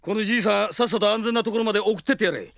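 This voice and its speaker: A man's voice: high-pitched, very fast, vocal fry, no echo. A male actor playing a brash, battle-hardened but loyal mercenary in a radio drama, with blunt, slangy, casual speech. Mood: brisk, commanding. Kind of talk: Japanese radio drama acting.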